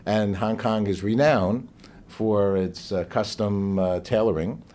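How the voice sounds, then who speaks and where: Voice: sits low, fairly even intonation, slow pace, mellow, a little hiss or hum. An interviewer asking friendly questions in a casual interview, rambling with many uhs and restarts.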